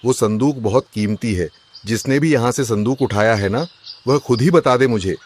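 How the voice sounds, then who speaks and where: A man playing an agitated, suspicious rich merchant husband in a cartoon, loud and complaining. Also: medium pitch, moderate pace, background noise, natural voice. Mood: distressed. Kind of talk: Hindi cartoon story voice acting.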